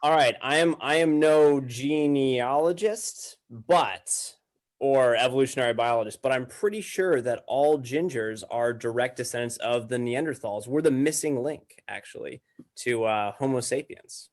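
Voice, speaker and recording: A man's voice: mid-range voice, measured pace. A man chatting casually as a podcast guest, joking in a mock-serious way. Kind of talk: casual comedic chat.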